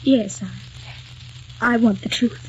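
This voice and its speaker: A woman's voice: fairly high pitch, extremely slow, a little hiss or hum. A woman acting a tender, devoted young wife in 1940s radio drama style, earnest and soothing. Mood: fearful, pleading. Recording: dull vintage radio recording with music.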